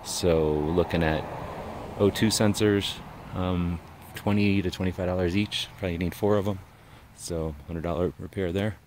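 A man giving a relaxed, rambling walkaround tour of his van outdoors, talking into a handheld camera. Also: low-pitched, normal speed. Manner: relaxed, rambling, frequent uh fillers. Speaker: vlogger. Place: outdoors in a mountaintop parking lot beside a van. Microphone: handheld action camera. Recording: outdoor camera audio with clear background noise.

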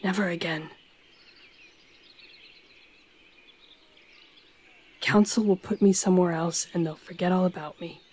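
A woman playing a guilt-ridden, stubborn young werewolf in an audio roleplay, speaking in a terse, weary, gruff way. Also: on the low side. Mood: quiet, despairing. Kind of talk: dramatic audio roleplay acting.

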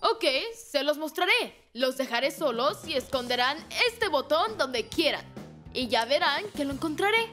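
A woman voicing a cocky, excitable young schoolboy in an animated cartoon dub, eager and emphatic. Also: high voice, measured pace, soft background music, full character voice. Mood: confident.

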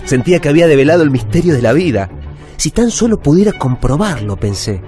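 A man's voice: fairly high pitch, animated, fast talker, mellow, natural voice. An actor playing a wistful forty-year-old bookshop owner with a Rioplatense Argentine accent, narrating his routine life in a reflective radio drama. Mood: excited, elated. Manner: reflective, storytelling, self-correcting. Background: music bed.

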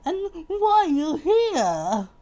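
A man voicing a fussy, easily horrified storybook neat-freak, with drawn-out, fretful exclamations. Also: extremely high pitch, wildly swooping pitch, dark tone, pitched above the performer's own voice, exaggerated voice.

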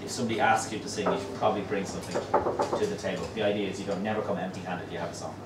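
A middle-aged man hosting a pub crawl, telling jokey stories to a crowd and mimicking people in quick asides. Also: deep voice, fairly even intonation, heavy background noise. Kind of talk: humorous storytelling to a pub crowd. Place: small crowded pub, indoors. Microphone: far camera, no mic. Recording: roomy pub echo, distant, chatter behind.

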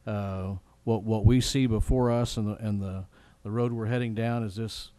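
Mellow, lowish-voiced man chairing a meeting. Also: steady tone, moderate pace, no echo. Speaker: presiding chair of a city council meeting. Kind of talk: chairing a formal public meeting.

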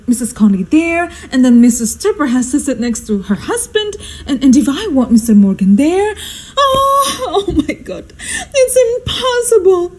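Woman acting a histrionic, high-voiced society hostess. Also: darkish tone. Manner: theatrical, exclamatory, flustered. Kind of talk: stagey radio drama acting.

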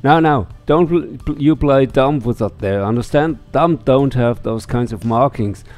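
A man's voice: medium pitch, unhurried, darkish tone, faint music behind, close to an everyday voice. Man playing a pushy dog-like exile. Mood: taunting. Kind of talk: reading visual novel dialogue aloud.

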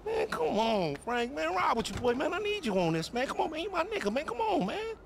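A man's voice: very high voice, sing-song, a little fast, vocal fry, crowd noise, exaggerated voice. A man playing a boastful, foul-mouthed young hustler in a video game cutscene, with an American accent and slangy, cajoling banter. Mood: pleading, upbeat.

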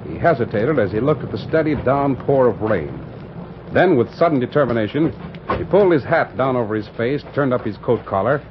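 A man's voice: mid-range voice, close to an everyday voice. An American man giving scripted, solemn storytelling narration for a radio western. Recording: muffled, noisy vintage radio broadcast.

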